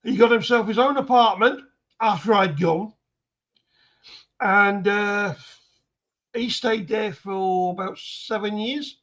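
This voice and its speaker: A man's voice: sits high, takes it slowly, long pauses, a bit bright, dull audio. An older man chatting on a panel, blunt and dry in his humor. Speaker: panel guest. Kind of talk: casual panel chat.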